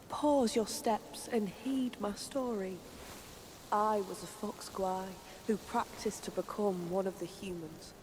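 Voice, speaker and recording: A woman's voice: mid-range voice, close to an everyday voice. A woman playing a sorrowful fox spirit in a game, telling her tale in a formal, beseeching manner. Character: sorrowful, pleading female fox spirit in human guise. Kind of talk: dramatic game voice acting, storytelling. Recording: long hall reverb, clear background noise.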